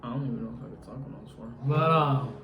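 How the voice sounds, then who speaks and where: A man's voice: mid-range voice, rapid-fire, vocal fry, lo-fi sound. A man chatting casually as a guest, hesitant and reluctant, giving short replies. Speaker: vlogger's brother, guest. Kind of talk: casual chat. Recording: roomy room sound, music underneath.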